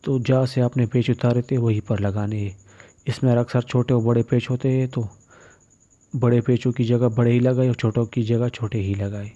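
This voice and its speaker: A man's voice: medium pitch, steady tone, moderate pace, mellow. A man giving step-by-step repair instructions as a tutorial voice-over, in a plain, matter-of-fact way. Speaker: phone repair tutorial presenter. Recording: dull, muffled lo-fi recording.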